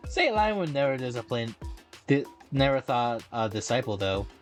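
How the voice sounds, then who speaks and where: A man's voice: medium pitch, big pitch swings, moderate pace. Casting: casual male playthrough commentator, middle register, swinging intonation, game music underneath. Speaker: streamer reacting to a game.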